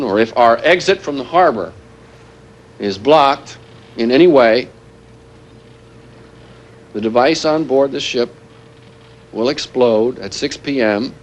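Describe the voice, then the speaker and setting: An actor playing a grave, earnest former weapons planner turned militant, delivering a deliberate televised statement in a realistic drama. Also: male voice, mid-range voice, expressive, a little hiss or hum, natural voice. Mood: grave, threatening. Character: grave male former weapons planner turned anti-nuclear hostage-taker.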